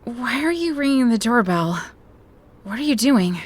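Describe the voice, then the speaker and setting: A woman's voice: fairly high pitch, lively intonation, normal speed. A woman playing a distraught, pleading fiancée in an audio drama. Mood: anxious, worried. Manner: pleading, anguished.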